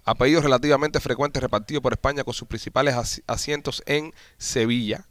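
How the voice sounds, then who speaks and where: A man's voice: medium pitch, steady tone, fast, fairly bright tone, no room sound. A man hosting a casual podcast chat, joking with friends and reading surname origins aloud. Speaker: podcast host.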